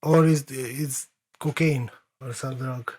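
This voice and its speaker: Offhand podcast co-host, mid-pitched man. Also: fairly even intonation, takes it slowly, a little mellow, slightly rough. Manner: short, offhand replies. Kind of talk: casual podcast chat.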